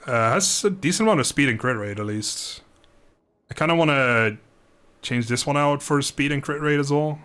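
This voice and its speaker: A man's voice: medium pitch, normal speed, fairly bright tone, smooth. A man giving casual, rambling, self-deprecating commentary as he livestreams a video game. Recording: music playing behind the voice.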